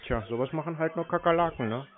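A man's voice: a little high, fast talker. Dry, unruffled man, phone-like sound. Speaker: scam-baiting call recipient. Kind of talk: casual phone conversation. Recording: narrow, tinny phone-like sound.

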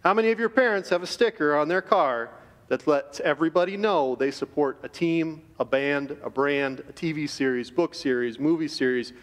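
A middle-aged man giving an earnest, measured chapel devotion to students from a podium in a school auditorium. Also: fairly high pitch. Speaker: chapel speaker. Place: large school auditorium stage. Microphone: podium microphone. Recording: reverberant auditorium.

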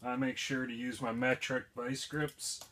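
A man's voice: medium pitch, little pitch movement, fairly bright tone, a little hiss or hum. A young man casually explaining a repair step by step in a garage, plain and practical. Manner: plain, unhurried, practical, with frequent fillers. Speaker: DIY video host. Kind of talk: casual how-to demonstration. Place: indoor garage or shed, filming himself while crouched working.

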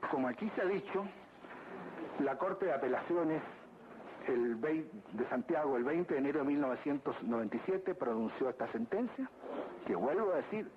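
Man, lawyer pleading at a hearing, slightly higher voice, formal and deliberate, tinny phone-like sound, noisy background. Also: expressive. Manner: formal, deliberate, repeats phrases for emphasis. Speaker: lawyer for the state at a court hearing. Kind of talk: formal legal argument. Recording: tinny lo-fi archive recording with background noise.

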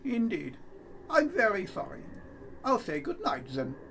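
A man's voice: high-pitched, huge pitch swings, a little slow, creaky, fairly bright tone, full character voice. A man playing a polite, inquisitive and apologetic policeman in a radio play. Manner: deferential, apologetic, hesitant. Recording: noticeable background noise.

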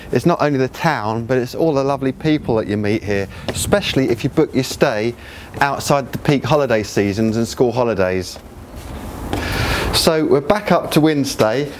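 Middle-aged male host, tour of holiday flats, mid pitch, faint noise behind. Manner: friendly, chatty, walking and pointing as he talks. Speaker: property video host. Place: seaside quay outdoors, then rooms of a flat.

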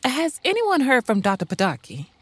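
Actress doing hospitable mom in an audio drama, pretty high husky voice. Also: female voice, huge pitch swings, moderate pace, fairly bright tone, no room sound, exaggerated voice. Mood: concerned. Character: caring, hospitable mother hosting a family cookout.

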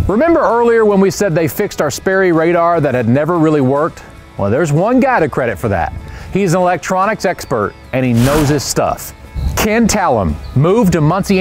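A man's voice: on the high side, a little mellow. A man in his forties, a pilot host, narrating in an earnest, grateful tone from an aircraft hangar. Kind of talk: scripted narration.

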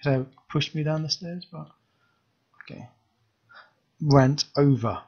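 A man's voice: on the high side, takes it slowly, darkish tone, dull audio, a little hiss or hum. A man reviewing a game asset in casual commentary, thinking aloud and ranting about bugs as he explores.

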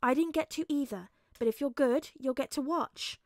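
A woman voicing a patient, gentle teenage boy in a story, speaking in a soft, careful, coaxing way. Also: high-pitched, normal speed, no room sound, clean studio sound, pitched above the performer's own voice. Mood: hushed, placating.

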